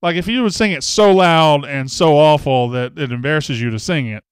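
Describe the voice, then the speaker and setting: A man's voice: on the high side, moderate pace, clear, resonant tone, dry recording, low-quality recording. A man hosting a casual live show, chatting and reading viewer comments with self-mocking jokes. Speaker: host. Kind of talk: casual live-stream chat.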